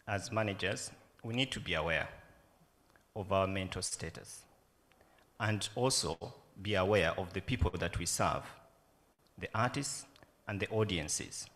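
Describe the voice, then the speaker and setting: A man's voice: fairly low pitch, a bit bright. Earnest Ugandan man in a reverberant hall. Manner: earnest, reflective, asks many questions. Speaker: festival director on a panel. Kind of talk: panel talk. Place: conference room with a yellow banner. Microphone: stand mic.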